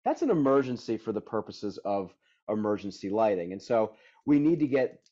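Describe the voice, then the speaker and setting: A man presenting a technical webinar, hoarse and tired, talking energetically and casually with many fillers. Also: fairly low pitch, sing-song, normal speed, mellow, lo-fi sound. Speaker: product development director presenting a webinar.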